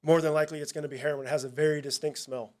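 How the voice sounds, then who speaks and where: A man with a slightly high voice speaks plainly to a town hall audience as a narcotics officer. He talks in a casual, halting way, stopping and restarting his sentences often. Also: level tone, darkish tone. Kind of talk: presentation at a public town hall.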